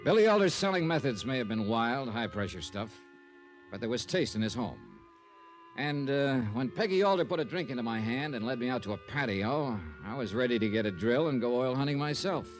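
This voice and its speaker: A man's voice: medium pitch, lively intonation, brisk, natural voice. A man playing a wry, easygoing insurance investigator who narrates his own case in an old-time radio detective drama. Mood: wry narration. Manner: wry, dry wisecracks. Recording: vintage radio recording with faint music.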